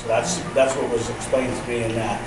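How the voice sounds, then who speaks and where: A man's voice: mid-range voice, fairly even intonation, fairly quick, music in the background. A man leading a drum group, explaining traditions to listeners in a rambling way with fillers. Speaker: drum leader. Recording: echoey room, distant mic, noise.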